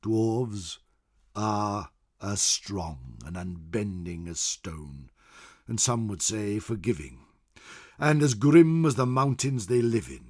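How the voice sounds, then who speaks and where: A venerable old chronicler, acted out by a man storyteller, grave and awed. His voice is low and husky, and he speaks very slowly and theatrically, swelling with solemn weight and then sinking away, as in a dark fantasy audio tale. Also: sing-song, full character voice. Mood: solemn, proud. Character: venerable old male scholar chronicler, grave, fearful, awed by ancient lore. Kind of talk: dramatic in-character fantasy narration.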